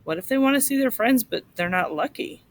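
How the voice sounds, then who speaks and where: A woman's voice: fairly high pitch, lively intonation, a little fast, slight background noise, voice pushed up. A woman voicing a curious, eager young boy asking his parents questions in a storybook.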